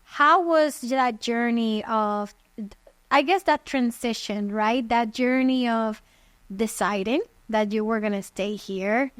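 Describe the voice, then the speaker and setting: A woman hosts a casual interview, her voice sitting fairly high. She loops through her questions with many "right?" and "you know" tags. The sound is dry and close. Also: takes it slowly, fairly bright tone, smooth, no echo. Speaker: podcast host. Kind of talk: conversational interview.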